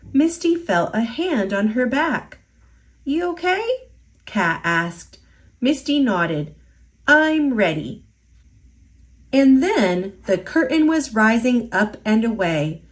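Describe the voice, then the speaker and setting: Slow, expressive woman reading a storybook. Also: on the high side. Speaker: storytime reader. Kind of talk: children's picture book read-aloud.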